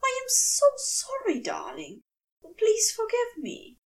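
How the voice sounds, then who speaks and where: A woman voicing an anxious, fussy gentleman who apologises and pleads politely in a fan fiction audiobook. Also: very high-pitched, huge pitch swings, slow pace, far from the mic, a little hiss or hum, pitched above the performer's own voice, exaggerated voice. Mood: anguished pleading. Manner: fretful, polite, flustered pleading.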